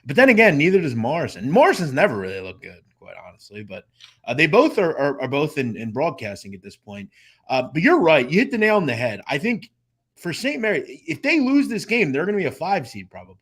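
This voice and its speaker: A man's voice: a little high, wildly swooping pitch, brisk, a bit bright, breathy edge. A man giving casual sports betting analysis in conversation, with emphatic points and asides.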